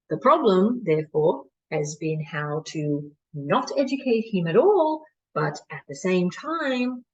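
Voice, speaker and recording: A woman performing a stage monologue as a disillusioned colonial mission doctor, bitterly candid and deliberate, with dramatic pauses. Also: lowish voice, poor audio.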